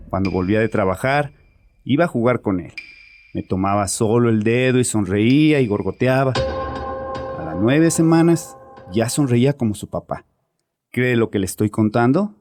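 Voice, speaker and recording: A man's voice: mid-range voice, animated, normal speed, darkish tone, lightly acted. An actor playing a bitter, troubled father confessing defensively and sardonically in a dramatized horror audiobook. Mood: confiding, nostalgic. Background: music.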